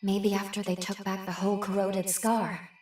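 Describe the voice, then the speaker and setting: A woman playing a calm analytical researcher. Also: medium pitch, close to an everyday voice. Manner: measured, reasoning aloud. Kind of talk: game cutscene voice acting. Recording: roomy, slightly distant, light music.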